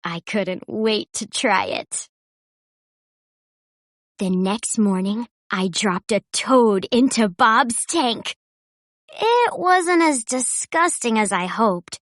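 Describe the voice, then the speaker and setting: A woman reading a children's storybook aloud in an expressive, playful way. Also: a little high, slow pace, dry recording, clean studio sound. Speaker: children's book reader. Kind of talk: storybook read-aloud narration.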